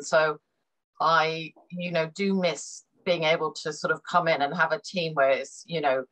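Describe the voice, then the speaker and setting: A middle-aged woman from Hong Kong speaks in a low, deep voice. She talks through a remote call as an interview guest, and often stalls on fillers and repeated words while she gathers her thoughts. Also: sharp tone, poor audio. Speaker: business consultant, guest. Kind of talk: conversational interview.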